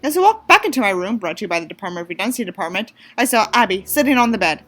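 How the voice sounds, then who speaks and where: A woman's voice: mid-range voice, sing-song, very fast, fairly bright tone. A woman from the United States doing a mocking dramatic reading, theatrical, with sarcastic asides. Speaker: reader and commentator.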